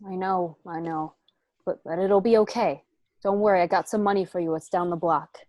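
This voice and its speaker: Low, urgent woman as a nervous gangster. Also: steady tone, normal speed, darkish tone, no room sound, clean studio sound, lightly acted. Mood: coaxing. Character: nervous, falsely friendly male gangster. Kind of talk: amateur online radio play acting.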